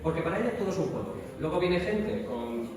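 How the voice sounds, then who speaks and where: A man's voice: high-pitched, animated, fairly quick. A man with an accent from Spain giving informal, plain-spoken advice as a guest on a live panel. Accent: Spanish from Spain. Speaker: voice actor, guest speaker. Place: large echoey event hall at a convention. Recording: very echoey hall, distant mic, noisy.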